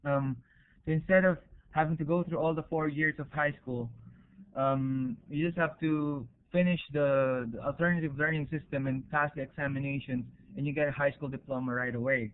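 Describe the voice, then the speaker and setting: A man's voice: a little high, steady tone, moderate pace, narrow, tinny audio. A man with a Filipino accent giving an informal academic presentation over an online call, explaining step by step in a hesitant way and checking in as he goes. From Philippines. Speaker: university researcher presenting.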